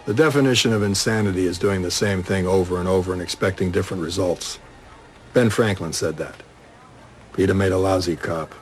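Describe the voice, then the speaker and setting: An actor playing a dogged, terse police detective with a clipped, dry delivery in a crime audio drama. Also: male voice, deep voice, a little fast, soft background music, natural voice. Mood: dry, determined. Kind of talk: television-style crime audio drama acting.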